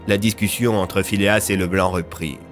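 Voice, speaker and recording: A man's voice: low voice, fairly even intonation, moderate pace, soft background music. A man narrating a scripted audio drama as a storyteller.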